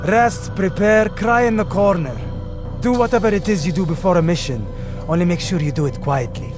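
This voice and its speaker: An actor playing a bitter, sarcastic keeper of an assassins' bureau in a video game, biting and contemptuous. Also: male voice, high-pitched, brisk, music in the background, lightly acted. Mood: scornful. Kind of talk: dramatic video game acting.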